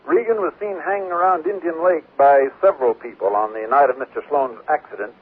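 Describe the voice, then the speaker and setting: An actor playing a matter-of-fact sheriff asking questions in a 1940s radio drama, on a muffled old recording. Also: male voice, a little high, a little fast, breathy edge. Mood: businesslike. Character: businesslike county sheriff questioning a witness.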